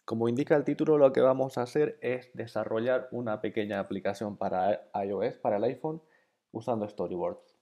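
A man from Bolivia, introducing an online programming course in a relaxed, conversational way. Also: medium pitch, fairly quick. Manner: relaxed, conversational, with fillers and repeated encouragement. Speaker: course instructor. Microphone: voice-over over slides.

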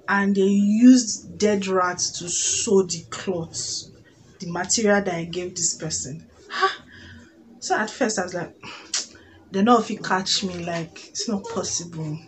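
A Nigerian woman in her thirties chatting casually to camera in an expressive, exclamatory storytelling way, recorded on a phone in a room at home. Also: mid-range voice, takes it slowly, a little hiss or hum, poor audio. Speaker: vlogger. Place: small indoor room at home.

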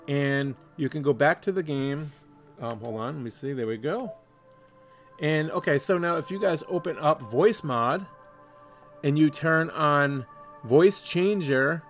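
Higher-voiced man giving a casual tutorial. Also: fairly bright tone. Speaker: tutorial video host. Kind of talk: casual step-by-step screen tutorial.

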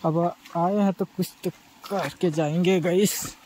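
A man's voice: sits high, fairly even intonation, slow, a little mellow, slight background noise, low-quality recording. A man from Chhattisgarh chatting casually to viewers while riding a bike and hiking a forest trail, filmed on a body-worn camera. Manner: chatty, addresses viewers as guys. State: tired. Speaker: travel vlogger. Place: outdoors on rural roads and forest trails.

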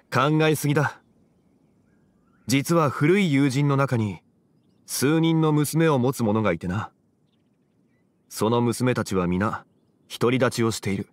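An actor playing a calm, wise, courteous gentleman in a game drama, speaking in a composed, old-fashioned formal way. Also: mid-range voice, slow, long pauses, no echo, lightly acted. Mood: calm, kindly. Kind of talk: Japanese anime game dialogue.

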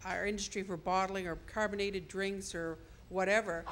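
A woman's voice: mid-range voice, fairly even intonation, moderate pace. Female delegate with a mid-range voice, hesitant and wandering, asking from the floor, faint background hum. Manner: hesitant, rambling, trails off. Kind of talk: question from the floor at a convention. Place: convention hall.

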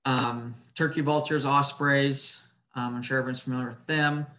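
A man giving an informal slide presentation over a video call, in a somewhat halting, hesitant way. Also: medium pitch, steady tone, bright, forceful tone. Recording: roomy echo on webinar audio.

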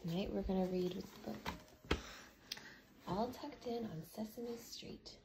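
A woman's voice: mid-range voice, measured pace, noisy background. A woman talking casually to a small child at home, caught on a phone video. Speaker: parent talking to a toddler.

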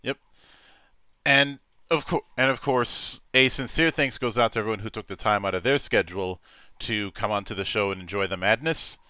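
A man hosts an online show with a bright, smooth, resonant voice. He speaks on a dry close microphone and builds his sign-off catchphrases up with theatrical emphasis. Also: fairly low pitch, normal speed, no room sound. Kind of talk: casual show host sign-off.

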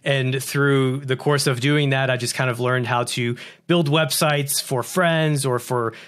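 A man in his thirties from France, a guest founder, telling stories with animated bursts and acted-out dialogue, on a broadcast mic. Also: mid-range voice. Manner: animated, quick bursts, acts out quoted dialogue. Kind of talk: casual interview storytelling. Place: home studio with posters and lamp. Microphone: large broadcast mic on boom arm, over-ear headphones.

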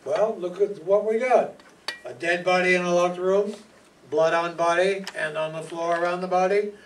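A wry private eye from a noir radio play, acted by an older man. The voice sits somewhat high for a man, narrating and questioning in hardboiled style. It is picked up from a distance with faint noise. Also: normal speed, close to an everyday voice. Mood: confident. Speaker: amateur radio play actor. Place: small hall stage, indoors. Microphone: stand microphone, recorded by far camera. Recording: noisy, distant hall PA recording.

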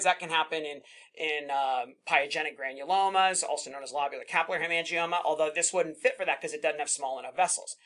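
A middle-aged man, a pathologist, teaching residents in a relaxed, conversational way and reassuring them often. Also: fairly high pitch. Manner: conversational, reassuring, many fillers like "kind of" and "right?". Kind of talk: informal teaching at a slide session.

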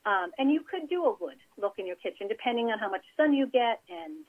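A woman's voice: fairly high pitch, sing-song, brisk. Woman designer on a tinny phone line. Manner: chatty, explains with practical advice. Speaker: interior designer, guest. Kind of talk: casual interview over phone.

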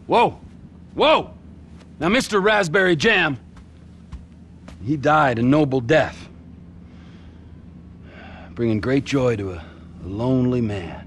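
An actor playing a volatile, crude middle-aged male criminal in a video game, mocking and domineering, swinging from mock-gentle to barking. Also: mid-range voice, animated, slow pace, long pauses, slight background noise. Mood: mocking, bullying. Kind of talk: video game cutscene acting.

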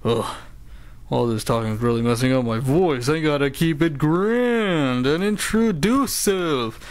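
A man's voice: fairly high pitch, lively intonation, a little slow, no room sound. A man voicing a grumbling, broke male cartoon show announcer who whines, sighs and makes sarcastic complaints. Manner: whiny, complaining and sarcastic, with many sighs and groans. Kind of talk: comedic cartoon voice acting.

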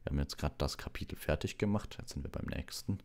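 Male livestream story reader, extremely low voice, relaxed and casual. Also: fast talker, vocal fry. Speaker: audiobook reader, livestream host.

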